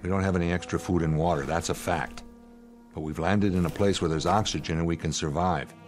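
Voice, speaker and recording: An American man playing a stern, steady spaceship commander in a radio drama, with an authoritative, clipped, lecturing manner. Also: low voice, fairly quick, close to an everyday voice. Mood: stern, commanding. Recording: dramatic music behind the voice.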